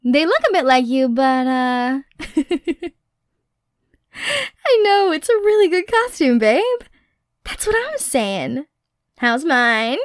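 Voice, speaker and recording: A high-pitched, excitable young girlfriend in an audio roleplay acted by a woman. She is nerdy, playful and affectionate, speaking unhurriedly and close to a dry microphone. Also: no echo, heavily acted. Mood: playful, teasing. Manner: playful, expressive, teasing, nerdy rambling. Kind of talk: ASMR girlfriend roleplay, intimate acted monologue. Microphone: close, dry studio microphone.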